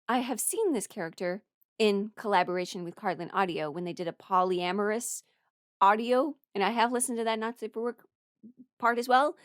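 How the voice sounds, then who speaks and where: A young adult woman rambling casually through her opinions as a video creator, expressive and emphatic, blunt but apologetic. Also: a little high, dry recording, clean studio sound. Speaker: video creator ranking characters.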